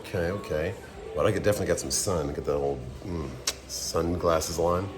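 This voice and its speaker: A man's voice: low voice, brisk, music in the background. A man in his thirties with a groggy voice, talking casually through a trucking vlog in a loose, rambling way, with a lot of fillers. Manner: rambling, relaxed talk with fillers. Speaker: owner-operator truck driver vlogger. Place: home kitchen and car.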